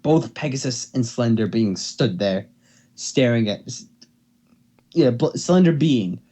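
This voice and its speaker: A man's voice: medium pitch, expressive, a little slow, dark tone, slightly rough. A man hosting an amateur fan fiction reading, who stumbles over words and repeats phrases as he goes. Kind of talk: amateur dramatic story reading.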